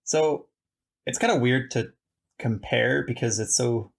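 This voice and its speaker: A man's voice: medium pitch, unhurried, gravelly. A man hosting a podcast, talking casually about films in a rambling, chatty way full of restarts. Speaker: podcast host. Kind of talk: casual film discussion.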